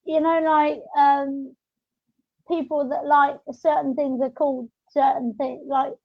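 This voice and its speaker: A woman hosting a podcast, chatting casually and explaining things in a rambling, halting way. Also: sits high, little pitch movement, no echo. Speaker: podcast host. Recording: dull, lo-fi audio.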